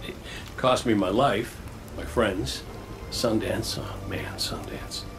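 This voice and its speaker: An actor playing a world-weary, reflective gunslinger in a video game, folksy and heartfelt. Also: male voice, lowish voice, slow pace, noisy background. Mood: rueful, grieving. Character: world-weary male robot gunslinger, reflective, forgiving veteran. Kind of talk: scripted game dialogue. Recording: music behind.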